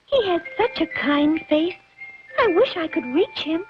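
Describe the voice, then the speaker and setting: A wistful little ballerina doll. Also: female voice, very high-pitched, lively intonation, measured pace. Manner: soft, wistful sighing delivery. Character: gentle, longing female ballerina doll. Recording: dull lo-fi old soundtrack with music.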